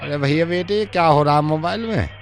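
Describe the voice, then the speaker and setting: A man's voice: on the high side, brisk, creaky, a little hiss or hum, voice pushed up. A confused rustic grandfather. He rambles and grumbles, going round the same complaint again and again in a prank call. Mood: confused, complaining. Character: rustic elderly man, confused, grumbling about his mobile.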